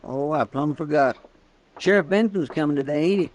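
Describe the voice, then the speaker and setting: An actor playing a folksy, talkative frontier sidekick who drawls deferentially, in 1950s radio western style. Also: male voice, a little high, normal speed, a little hiss or hum, natural voice. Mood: curious. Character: folksy, curious, talkative marshal's deputy sidekick.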